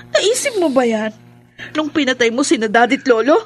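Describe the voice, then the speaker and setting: A woman's voice: high-pitched, very animated, moderate pace, breathy edge, exaggerated voice. A woman playing a wronged young heiress, emotional and dramatic, in a Filipino radio drama. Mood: furious, bitter.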